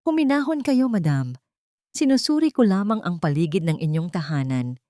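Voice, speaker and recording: A woman's voice: on the low side, very animated, moderate pace, dark tone, no room sound, clean studio sound. A woman voicing a composed, cold businessman in an audio drama, formal and authoritative in manner. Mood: cold, firm. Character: composed, cold businessman posing as art investor.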